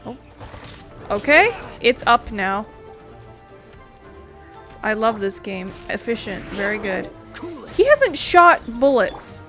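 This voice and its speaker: A woman hosts a casual gaming video in a fairly high voice. She is playful and sarcastic, chatting off the cuff, with faint music underneath. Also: a bit bright. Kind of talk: casual let's play commentary.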